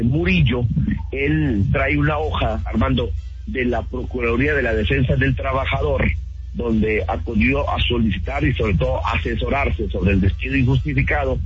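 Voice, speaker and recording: A man reporting live and interviewing in a conversational way for radio news. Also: medium pitch. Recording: dull lo-fi radio sound, faint music behind.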